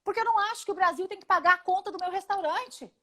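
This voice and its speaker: A woman in her forties with a Brazilian Portuguese accent, a congresswoman giving a live video interview in an emphatic, indignant way, often speaking in rapid lists. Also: extremely high pitch, pushed and bright. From Paraná, Brazil. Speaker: congresswoman guest. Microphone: video call.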